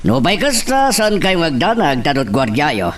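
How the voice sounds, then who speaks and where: A man's voice: fairly high pitch, huge pitch swings, measured pace, background noise. A man acting a reassuring yet plotting small-town mayor in an Ilocano audio drama, with an Ilocano accent. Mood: reassuring, assured. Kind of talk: amateur Ilocano radio-style drama acting.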